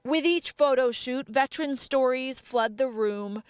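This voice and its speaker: A woman's voice: high-pitched, a little slow, clean tone, no room sound. A woman narrating a scripted television news feature as a reporter.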